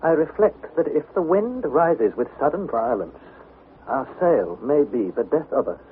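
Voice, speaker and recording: A narrow, tinny vintage radio recording carries an anxious young explorer with a high-pitched voice. He narrates his expedition journal with earnest, literary intensity, in the dramatic style of an adventure serial. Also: big pitch swings, unhurried, a little hiss or hum. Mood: uneasy, foreboding.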